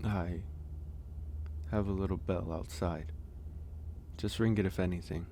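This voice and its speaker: Casting: male actor as caring, slightly shy werewolf for ASMR audio roleplay; very low, slow, soft delivery. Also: slight background noise. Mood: gentle, caring. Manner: soft, hesitant, pausing. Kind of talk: ASMR roleplay, intimate one-sided dialogue.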